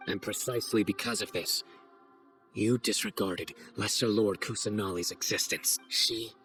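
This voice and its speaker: An actor playing a stern, composed general and judge in a video game, speaking in a measured, solemn, accusing manner. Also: male voice, lowish voice, a bit bright, lightly acted. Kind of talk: dramatic video game acting.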